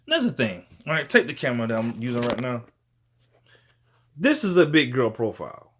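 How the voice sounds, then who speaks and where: A man's voice: medium pitch, big pitch swings, brisk, slight background noise. A man of thirty talking casually to the camera in a rambling, slangy and profane way, often dropping "you know what I'm saying.". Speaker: vlogger.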